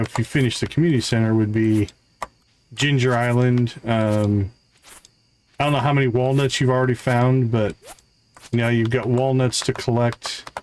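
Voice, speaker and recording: A man with a mid-range voice gives live commentary on a game. He chats casually with viewers and explains things in an easygoing way. Faint clicks and background noise sit behind his voice. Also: mid-range voice.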